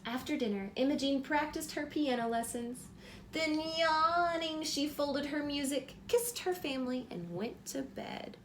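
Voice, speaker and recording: Female, very high voice, children's read-aloud teacher, simple prompting questions, some background noise. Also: unhurried. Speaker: teacher reading a picture book aloud to children.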